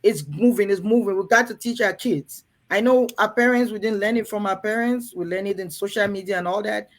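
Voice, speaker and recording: A woman's voice: mid-range voice, a little fast. A woman from Cameroon hosting a frank talk to women in a room, earnest and coaxing, often turning to the ladies in her audience. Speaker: host.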